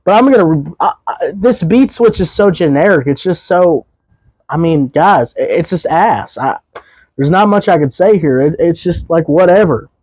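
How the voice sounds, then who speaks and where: Slightly hoarse young man reviewing music. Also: on the high side, lively intonation, normal speed, a little mellow, dry recording. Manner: loose and rambling, many fillers and restarts. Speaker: podcast host and music reviewer. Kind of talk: casual music review monologue.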